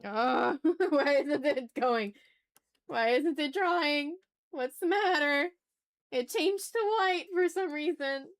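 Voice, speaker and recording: High-voiced woman chatting on an art stream. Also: slow, no echo. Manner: informal, slangy, muttering to herself; playfully dramatic, exasperated asides. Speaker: art livestreamer, host. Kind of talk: casual chatty livestream while drawing.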